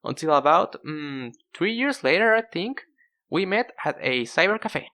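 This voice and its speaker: A man's voice: on the high side, big pitch swings, a little slow, sharp tone. Young male narrator, casual storytime delivery, conversational and self-deprecating. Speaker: narrator of an animated storytime video. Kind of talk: casual scripted storytelling with acted dialogue.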